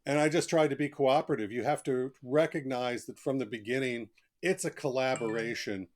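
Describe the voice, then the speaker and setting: A man's voice: medium pitch, fairly quick. A man speaking as a guest author in a conversational interview, talkative and reflective, explaining things in plain asides.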